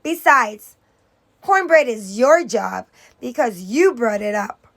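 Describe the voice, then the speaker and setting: A woman's voice: a little high, animated, slow, full character voice. A woman voicing an exasperated, practical older sister, a young girl, in a storybook reading with groaning, insistent complaint.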